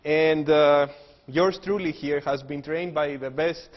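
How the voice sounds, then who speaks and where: A man's voice: fairly high pitch, steady tone, a little slow. Greek-accented man lecturing in a hall. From Greece. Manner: joking, explanatory, frequent uh fillers. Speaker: engineering professor. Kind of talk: technical lecture with jokes. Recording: dull lo-fi hall recording with long reverb.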